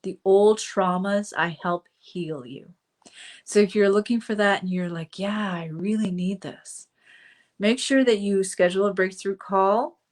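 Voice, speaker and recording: A middle-aged woman talking to her viewers in a casual live stream, her pitch sitting in the middle range. She chats loosely, with plenty of fillers and asides. Her voice is slightly hoarse from a cold. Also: a little slow, a little mellow. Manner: chatty, with frequent fillers and asides. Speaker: coach hosting a live stream.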